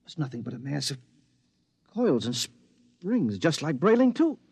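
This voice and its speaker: An actor playing a take-charge suburban husband in a 1950s radio drama, emphatic, wry and sentimental. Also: male voice, a little high, very animated, unhurried, creaky, darkish tone, slightly rough, no echo, heavily acted. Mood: amazed, brisk. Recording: dull dry vintage radio recording.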